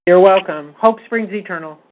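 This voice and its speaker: A woman's voice: medium pitch, normal speed. A woman speaks as the leader of an advocacy group on a conference call. Her delivery is earnest, emphatic and rallying, in informal spoken remarks. The sound is thin and tinny, like a telephone line. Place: conference call from a meeting table.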